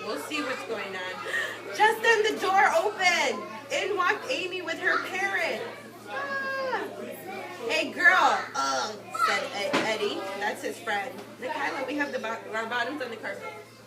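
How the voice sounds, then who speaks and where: Female early-years teacher, high voice, expressive storybook read-aloud with simple explanations, picked up from afar in an echoey classroom. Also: unhurried. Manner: expressive, explanatory, addressing small children. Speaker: teacher reading to young children. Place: indoor classroom with carpet. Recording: echoey room, distant mic, loud children's chatter. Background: loud chatter of young children.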